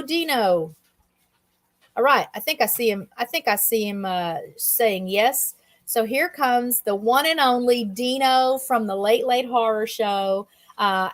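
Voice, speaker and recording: A woman with a high voice hosts a relaxed livestream. She chats in a folksy way as she interviews her guest. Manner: chatty, folksy. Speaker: livestream host. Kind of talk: casual chat, interviewing a guest.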